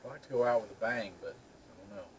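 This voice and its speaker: A man's voice: lowish voice, fast, slight background noise, rough recording. A man chatting casually with joking banter as co-host of an amateur video. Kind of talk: casual chat.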